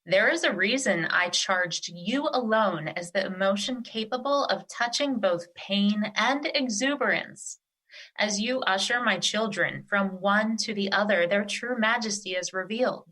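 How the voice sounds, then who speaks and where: Woman acting as bright-voiced, wise earth spirit. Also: medium pitch, low-quality recording. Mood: reassuring, wise. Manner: formal, measured, reassuring. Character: wise, nurturing female earth spirit, calmly authoritative. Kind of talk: theatrical audio drama acting.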